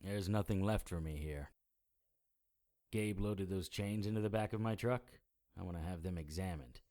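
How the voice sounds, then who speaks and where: A man's voice: low-pitched, normal speed, darkish tone, natural voice. A man playing a wry, weary supernatural private investigator in an audio drama, with a dry, sardonic manner. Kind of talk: audio drama acting with first-person noir narration.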